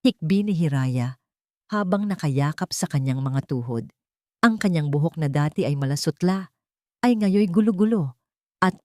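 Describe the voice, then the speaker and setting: Low-voiced woman narrating a dramatic story. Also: darkish tone, no room sound, studio quality.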